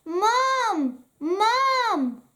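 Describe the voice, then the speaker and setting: Story reader acting little girl, high pitch, loud, urgent, exasperated, animated, children's storybook. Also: extremely slow, heavily acted. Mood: urgent calling. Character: excited, exasperated little girl desperate to be heard.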